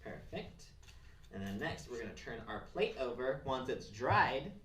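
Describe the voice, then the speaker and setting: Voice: medium pitch, big pitch swings, unhurried, a bit bright. A craft presenter giving simple, encouraging step-by-step instructions to children. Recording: roomy, distant mic, faint noise and clicks.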